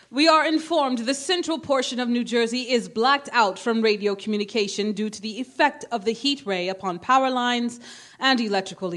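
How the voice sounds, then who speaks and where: An actress playing an earnest radio news announcer, reading bulletins in a formal, clipped, urgent way in 1930s radio drama style. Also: female voice, fairly high pitch, natural voice. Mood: grave, formal.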